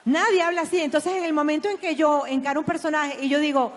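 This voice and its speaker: Blunt high-voiced woman. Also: fast. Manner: blunt, opinionated, lively. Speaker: dubbing panelist. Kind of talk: panel discussion. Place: large event hall. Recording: long hall reverb.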